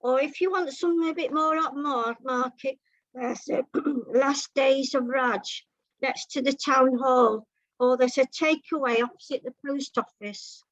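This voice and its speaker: Homely housewife, acted by a female reader: helpful, unflappable, calls strangers love and pet, slow delivery, muffled sound. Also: sits high, fairly even intonation, no echo. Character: helpful, chatty, unflappable housewife narrator of a comic story.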